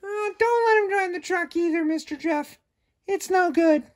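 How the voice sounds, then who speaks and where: A man voicing a timid little puppy, high and squeaky, hesitant and pleading. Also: moderate pace.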